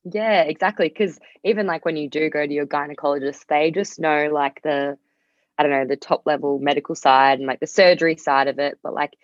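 A woman's voice: deep voice, steady tone, fairly quick. A woman chatting casually as a podcast guest, peppering her loose sentences with "like". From Australia. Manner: loose, heavy use of "like". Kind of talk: casual chat. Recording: roomy, slightly dull sound.

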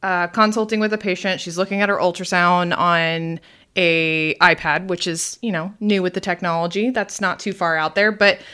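A woman hosting a casual podcast, explaining things in a chatty, conversational way and quick to laugh. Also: fairly low pitch, fairly even intonation, sharp tone, clear, resonant tone. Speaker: podcast host.

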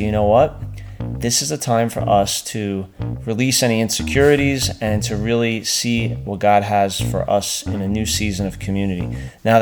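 A man with a low voice talks earnestly about faith and neighborliness, encouraging his listeners. His sentences often restart as he works out his thoughts. Guitar music plays clearly underneath him. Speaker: podcast co-host. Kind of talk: faith-based casual talk.

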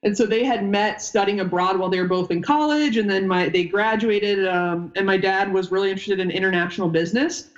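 A woman's voice: mid-range voice, a little fast, hardly any pauses, lo-fi sound. A woman in her thirties who grew up in upstate New York, a former basketball player and coach chatting as a podcast guest in a rambling way. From upstate New York, United States. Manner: chatty, rambling, wanders off mid-sentence. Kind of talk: casual podcast interview.

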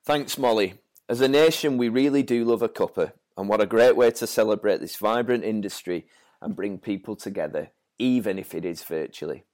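A man's voice: fairly low pitch, fairly quick, a little mellow. Man signing off a podcast. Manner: friendly, polished outro delivery. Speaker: podcast co-host. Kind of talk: scripted podcast sign-off.

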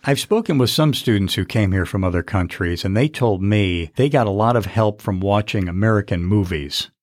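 A male podcast host speaks in a fairly low voice with a dry, close studio sound. He is friendly and encouraging with his guests and quick to laugh. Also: no echo. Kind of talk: interview podcast. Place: campus broadcast studio. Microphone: studio mic.

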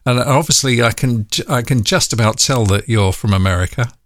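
A man's voice: mid-range voice, fairly quick, fairly bright tone, dry recording. North london guy hosting, friendly, lots of ums, dry close sound. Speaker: podcast host. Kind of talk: casual interview.

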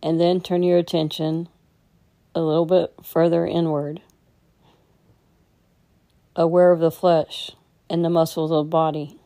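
Female meditation guide: deep register, very even delivery, gentle and unhurried. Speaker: meditation teacher.

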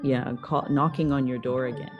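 A woman's voice: extremely low pitch, fairly even intonation, normal speed, highs cut off, over music. Woman, podcast host, effusive, praising, casual interview.

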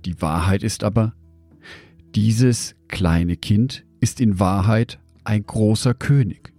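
A man giving a scripted devotional in a measured, reflective way, speaking directly to the listener. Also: on the low side, mellow, faint music behind.

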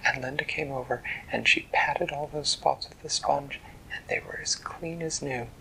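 Gravelly whispering bedtime storyteller. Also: medium pitch, poor audio. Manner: hushed, gentle, unhurried narration.

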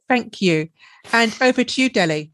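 A woman's voice: a little high, animated, normal speed, a bit bright, dry recording. A woman hosting a podcast, reading a formal introduction and politely asking her guests questions. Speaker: podcast host. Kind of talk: scripted introduction and interview questions.

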